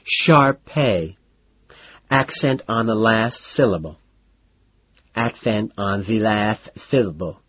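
A man's voice: fairly low pitch, expressive, normal speed, husky, muffled recording. A man voicing a pudgy, effete dog owner in his late fifties, flirtatious and fussy, with a lisp. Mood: coy correcting. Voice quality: lisping. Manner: fussy, coy, gushing.

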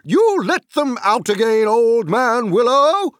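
A man's voice: extremely high pitch, very animated, takes it slowly, fairly bright tone, dry recording, clean studio sound, pitched above the performer's own voice, heavily acted. A man voicing a boisterous, jolly old forest dweller who sings and bellows in a rustic sing-song dialect. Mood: booming jolly. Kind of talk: audiobook character voice.

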